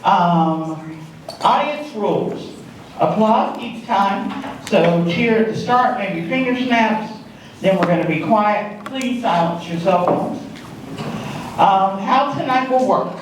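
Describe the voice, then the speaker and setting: A woman moderating a local candidate forum, laying out the rules and format in a clear, instructive way. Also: mid-range voice, lively intonation, takes it slowly. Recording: echoey room, distant mic, faint noise.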